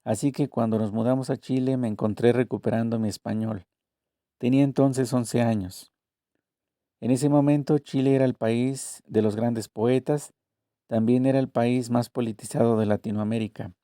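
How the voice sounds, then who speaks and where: A man reading a translated interview aloud, voicing both the questions and the answers in a measured, deliberate way. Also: mid-range voice, fairly even intonation, warm tone, dry recording, clean studio sound.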